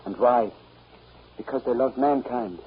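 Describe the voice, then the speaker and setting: A man's voice: medium pitch, a little slow, close to an everyday voice. A man playing a professor's worried, indignant friend in a 1930s radio drama. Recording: muffled, faintly noisy 1930s radio recording.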